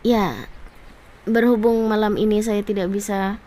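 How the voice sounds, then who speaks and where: A woman's voice: medium pitch, fairly even intonation, moderate pace, mellow. A woman with an Indonesian accent chatting casually and politely as she livestreams a game.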